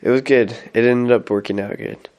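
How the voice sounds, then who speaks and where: A man's voice: mid-range voice, steady tone, fairly quick, darkish tone. Rambling young man with a head cold. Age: young adult. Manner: rambling, many fillers and restarts. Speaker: missionary. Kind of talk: casual weekly update vlog.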